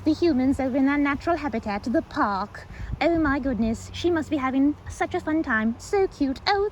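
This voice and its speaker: Over clear outdoor ambience comes a shy, easily flustered young woman , acted by a woman for an ASMR roleplay. Her pitch swings widely as she chatters, stumbles and apologises. A gravelly fry runs through her medium-pitched voice. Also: darkish tone, a little hiss or hum, full character voice, rough recording. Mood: playful mimicry. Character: timid, rambling young woman, excitable park regular who loves ducks. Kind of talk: ASMR roleplay, one-sided acted conversation.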